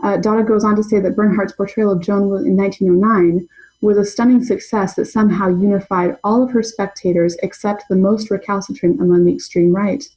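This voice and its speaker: A young adult woman presenting an academic paper in a measured, scholarly way. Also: medium pitch, steady tone, dark tone, poor audio. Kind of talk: reading a prepared conference paper.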